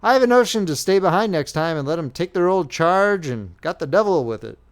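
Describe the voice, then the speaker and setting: A man's voice: high voice, lively intonation, fairly quick, voice pushed up. A man voicing an indignant young Civil War soldier who talks in a rustic, aggrieved way. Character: indignant young male Union soldier, the friend.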